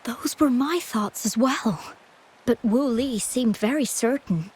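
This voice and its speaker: An actress voicing a gentle, anxious, ailing young woman in a video game, speaking softly and politely with hesitant stammers. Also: on the high side, slow, breathy edge, low-quality recording. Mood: wistful, worried.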